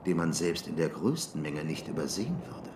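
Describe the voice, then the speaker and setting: A man voicing a shrewd, commanding old barbarian king in an audiobook, calm and controlled. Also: extremely low pitch, fairly quick, reverberant, noisy background, exaggerated voice. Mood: calm, guarded. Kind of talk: audiobook character acting.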